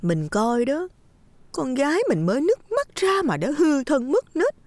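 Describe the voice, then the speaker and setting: Woman as malicious story gossip, high voice, animated scornful jibes, dry recording. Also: dry recording, full character voice. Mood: spiteful. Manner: scornful tattling, drawn-out jibes. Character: flirtatious, gossiping, spiteful wife stirring trouble.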